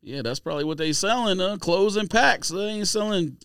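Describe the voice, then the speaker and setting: A man's voice: high voice, animated, brisk, a bit bright, clean tone, no echo, clean studio sound. A man with an American accent in casual podcast banter, skeptical and challenging as a co-host. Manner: skeptical, challenges what others say. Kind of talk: casual sneaker banter, reads out release list.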